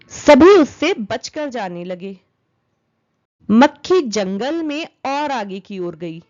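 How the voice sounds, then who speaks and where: High, animated woman storyteller. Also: lo-fi sound. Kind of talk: expressive children's story narration.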